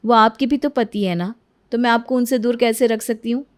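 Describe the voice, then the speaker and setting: A woman's voice: a little high, steady tone, a little fast, darkish tone, natural voice. A woman voicing a sly young second wife who sweet-talks the family in a coaxing, teasing way.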